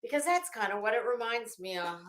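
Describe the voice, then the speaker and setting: A woman's voice: fairly high pitch, expressive, normal speed, a bit bright. A woman hosting a casual solo stream, rambling in an exclamatory, sardonic way. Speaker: host. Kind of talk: casual solo livestream chat. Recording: roomy, echoey room sound.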